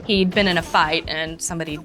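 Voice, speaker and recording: A woman's voice: deep voice, steady tone, fairly quick, music in the background. A woman who directs voice recordings, telling a studio story in an interview. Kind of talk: interview anecdote.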